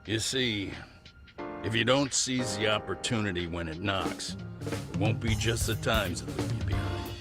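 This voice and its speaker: An actor playing a seasoned old American lawyer, blunt and nostalgic, in a video game dub. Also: male voice, on the low side, a little slow, music in the background. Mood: easygoing. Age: older.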